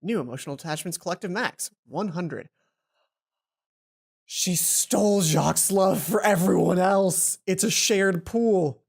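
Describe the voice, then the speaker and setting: A let's play host reading visual novel text aloud and commenting on it, with dramatic, expressive delivery and drawn-out emphasis. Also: mid-range voice, vocal fry, husky. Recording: music behind the voice.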